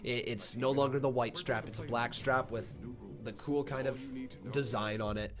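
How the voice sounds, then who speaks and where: Stuffy-nosed male stream host, casual chat mixed with excited ringside calls. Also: medium pitch, normal speed, over music. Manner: chatty, thinks aloud, bursts into excited play-by-play. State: congested from a cold. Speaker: live stream reaction host. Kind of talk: casual live reaction chat and commentary.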